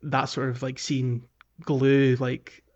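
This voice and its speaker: Casual man co-hosting, halting delivery. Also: mid-range voice, completely flat delivery, dull audio. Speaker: podcast co-host. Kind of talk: casual video game podcast chat.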